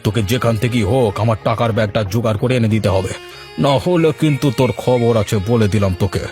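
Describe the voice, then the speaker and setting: A man's voice: mid-range voice, brisk, a little mellow, faint music behind, close to an everyday voice. A man voicing a stern village boss in a cartoon, scolding and commanding with a threatening manner. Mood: angry, threatening. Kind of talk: cartoon voice acting.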